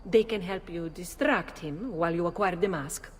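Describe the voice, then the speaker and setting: A woman's voice: on the low side, moderate pace, long reverb tail, a little hiss or hum, lightly acted. An actress playing a composed, shrewd female ally in a game cutscene. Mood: helpful. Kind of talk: video game cutscene acting.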